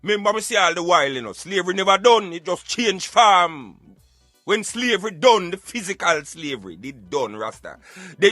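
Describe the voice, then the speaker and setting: Jamaican guy hosting radio talk in patois, kinda high voice, really sharp and emphatic. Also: no echo. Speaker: radio show host. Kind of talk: opinionated talk show commentary in Patois.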